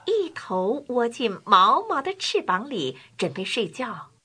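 Slow, animated woman storyteller. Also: fairly high pitch, slightly rough. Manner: expressive, deliberate. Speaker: storybook narrator. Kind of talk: scripted children's storytelling. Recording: music behind the narration.

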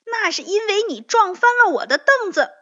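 A woman voicing an excitable, hot-tempered young rabbit in a children's story, with an emphatic, child-like delivery. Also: takes it slowly, highs cut off, exaggerated voice. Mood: angry, blaming. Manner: loud, high, emphatic.

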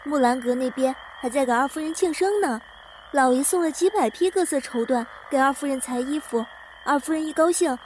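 A woman's voice: sits high, fairly quick, slight background noise, close to an everyday voice. A woman acting a chatty, boastful young maidservant in a Chinese period audio drama. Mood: showing off.

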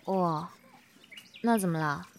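A woman playing a teasing, proud teenage schoolmate in an audio drama, expressive and by turns offhand and dramatic. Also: lowish voice, slow, slight background noise. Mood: casual. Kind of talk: Chinese audio drama acting.

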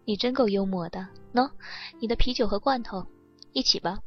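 An actress playing a nonchalant young woman adrift at sea in an audio drama, teasing with languid, deadpan frankness. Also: medium pitch, moderate pace, highs cut off, soft background music, natural voice. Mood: cool, amused.

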